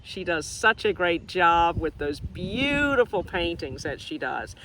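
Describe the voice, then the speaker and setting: A woman teacher reading a picture book to young children outdoors, expressive and asking them questions directly as she explains things simply. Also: lowish voice, measured pace, a little hiss or hum, low-quality recording. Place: outdoors in nature.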